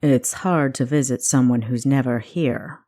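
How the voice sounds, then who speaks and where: A woman's voice: extremely low pitch, measured pace, warm tone, pitched below the performer's own voice. A woman voicing a sassy young female spy in an audiobook, cheeky and sarcastic.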